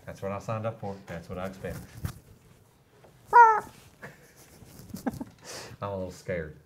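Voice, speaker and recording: Lower-voiced man, dry joker, hands-on PC builder, light noise under the mic. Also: steady tone, measured pace. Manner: teasing, dry humor. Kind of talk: casual live-stream banter.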